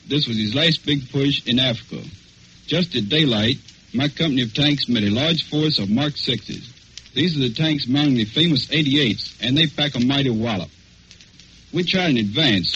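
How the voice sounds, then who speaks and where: A man's voice: medium pitch, fairly bright tone. American man, army veteran interviewee, 1940s radio style, muffled recording with faint noise. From Louisville, Kentucky, United States. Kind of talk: scripted 1940s radio interview, recounting combat.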